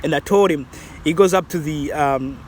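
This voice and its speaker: A man's voice: on the high side, moderate pace, a little mellow, a little hiss or hum. A man, talking casually and reflectively in a vlog, rambling with many restarts. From Zambia. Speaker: vlogger.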